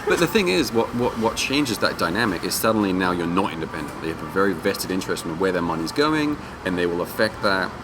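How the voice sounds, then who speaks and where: A man's voice: low voice, very animated, quick pace, few pauses. A man being interviewed as an independent game developer, speaking casually and informally in rambling explanations. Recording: clearly noisy background hum.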